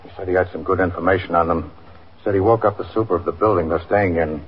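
An actor playing a no-nonsense police lieutenant in a 1950s radio drama, terse and businesslike. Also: male voice, low voice, fast, breathy edge. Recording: dull, lo-fi 1950s radio recording.

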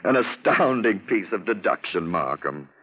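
Suave, husky, low-voiced radio detective. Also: male voice, very animated, normal speed, heavily acted. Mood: amused, self-satisfied. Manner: urbane, theatrical, drawling explanations in lettered points. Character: suave, witty, self-satisfied gentleman detective. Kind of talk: 1940s radio drama acting. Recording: dull, muffled vintage radio recording.

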